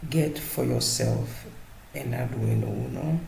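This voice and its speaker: A man giving a casual, unscripted hands-on tutorial as presenter, halting as he searches for words and repeats himself. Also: mid-range voice, gravelly, warm tone. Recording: roomy echo, faint background noise.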